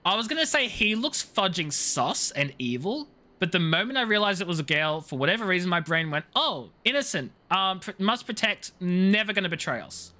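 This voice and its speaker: A man's voice: high voice, big pitch swings, moderate pace, hardly any pauses, pushed and bright. A man live streaming a video game, talking casually and jokily in slang with playful mock outrage. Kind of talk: casual chatty game commentary.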